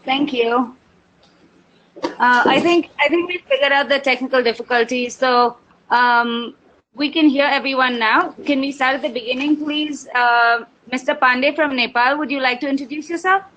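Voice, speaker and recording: A woman's voice: high-pitched, fairly even intonation, moderate pace, fairly bright tone, a little hiss or hum, rough recording. A woman moderating an online webinar over a video call, polite and hesitant, with frequent ums. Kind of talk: hosting an online meeting.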